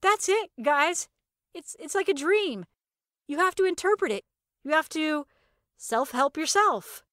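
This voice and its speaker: Woman acting excitable sarcastic young heroine, high voice, swings all over, theatrical, close dry sound. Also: measured pace, no echo. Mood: excited. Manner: emphatic, theatrical delivery. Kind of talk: first-person audio fiction narration with acted dialogue.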